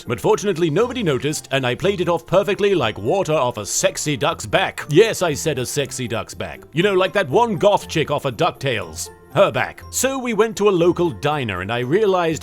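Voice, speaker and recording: A man voicing a cocky, theatrical young anime pharaoh spirit, delivering a smug, boastful comedic monologue in character as a countdown host. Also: fairly high pitch. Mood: boastful, deadpan.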